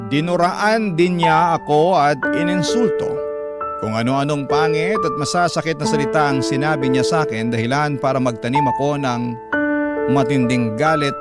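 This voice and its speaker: A man playing a long-suffering young man, narrating his life story in an earnest, measured way. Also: a little high, natural voice. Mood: bitter, pained. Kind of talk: dramatic scripted first-person narration. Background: music.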